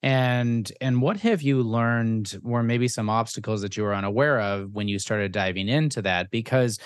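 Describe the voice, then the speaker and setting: A man's voice: medium pitch, normal speed, smooth, no room sound, studio quality. A man co-hosting a podcast, putting considered, conversational questions to a guest. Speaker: podcast co-host interviewing.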